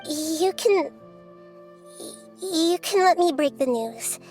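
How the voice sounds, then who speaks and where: A woman voicing a small childlike goddess in a video game, gentle, thoughtful and grave, choosing words with care. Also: very high-pitched, lively intonation, exaggerated voice. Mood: regretful, gentle. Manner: measured, careful, softly serious. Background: game music.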